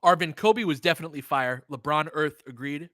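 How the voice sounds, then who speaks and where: A man's voice: fairly high pitch, lively intonation, fairly quick, a bit bright, no echo, studio quality. An American man calling basketball play-by-play in an informal, conversational, opinionated way, mixed with casual fan chat. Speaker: play-by-play host of a fan stream.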